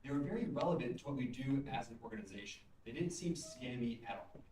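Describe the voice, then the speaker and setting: A young man presents a prepared pitch as a student founder. He speaks in a presentational style, picked up at some distance in an echoey room. Faint noise sits behind him. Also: expressive, fast, mellow. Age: young adult.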